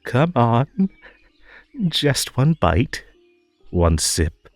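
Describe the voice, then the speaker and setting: A man playing a possessive magical tea-party host in an audio roleplay, over-polite and halting, with a menacing, unhinged edge under the sweetness. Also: mid-range voice, very animated, dry recording, soft background music, full character voice. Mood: coaxing. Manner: halting, drawn-out, over-polite, swinging between coaxing and stern. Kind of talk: scripted roleplay audio drama.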